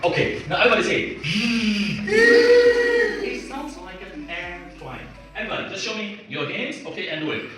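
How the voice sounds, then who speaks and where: Animated high-voiced male teacher, very echoey classroom. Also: normal speed, loud music behind the voice. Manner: animated, encouraging, drilling sounds by repetition. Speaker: English pronunciation teacher. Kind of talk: interactive classroom lesson. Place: indoor classroom. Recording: echoey room, far from mic, noisy class. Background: class of students, room noise.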